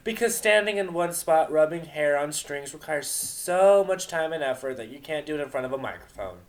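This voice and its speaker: A man's voice: a little high, expressive, moderate pace, voice pushed up, natural voice. A man voicing a brash, teasing female cartoon pony musician with a sarcastic, mock-enthusiastic manner.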